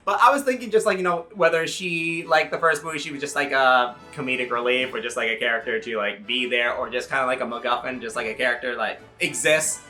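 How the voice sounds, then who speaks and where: A man in his thirties giving a casual, comedic movie review, rambling excitedly with swearing and jokey asides. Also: medium pitch, quick pace, hardly any pauses, faint music behind. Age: 30s.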